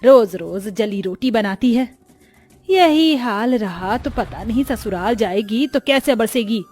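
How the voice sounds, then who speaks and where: A woman voicing a sharp-tongued, exasperated poor mother who nags in a Hindi cartoon moral story. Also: on the high side, lively intonation, slow pace, soft background music. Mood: scolding. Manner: nagging, exasperated.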